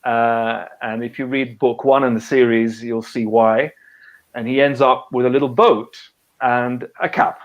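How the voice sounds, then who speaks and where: Male author guest, middle pitch, halting and restart-prone, muffled lo-fi call sound. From half British, half French. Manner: hesitant, many fillers and restarts. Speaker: author and conservation biologist. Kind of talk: casual interview over a live video call. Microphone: video call.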